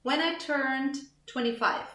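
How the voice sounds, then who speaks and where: A woman teaching a language lesson, explaining grammar slowly and deliberately, repeating example phrases and sounding them out. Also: high-pitched, sharp tone. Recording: roomy echo.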